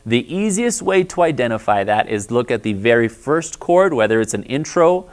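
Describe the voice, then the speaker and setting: A man with a lower, bass-baritone voice explains music theory step by step, like a patient church music teacher. He keeps a friendly, mid-pitched tone and checks in often with "all right?". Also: sing-song, normal speed. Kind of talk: instructional video lesson.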